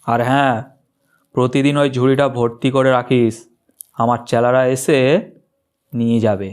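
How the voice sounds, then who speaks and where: A cartoon kite chief played by a man, commanding and full of threat. The voice is clean and resonant, holding an even, hard line as he orders smaller creatures about. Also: mid-range voice, moderate pace, clear, resonant tone, close to an everyday voice. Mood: threatening. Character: bullying male kite bird gang chief. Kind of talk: Bengali children's cartoon voice acting.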